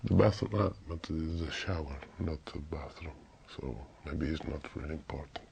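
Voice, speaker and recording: This is a deep-voiced Italian man whose very gravelly, raspy voice sounds dark. He pauses often to search for words in a speaking test. The recording is dull and lo-fi. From Italy. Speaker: exam candidate. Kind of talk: speaking exam answers.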